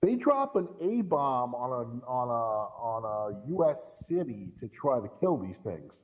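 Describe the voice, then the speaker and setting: A man's voice: medium pitch, big pitch swings, a little slow, dull audio. A middle-aged man talking casually about films in a blunt, emphatic way, given to swearing. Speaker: podcast guest co-commentator. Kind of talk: casual film discussion.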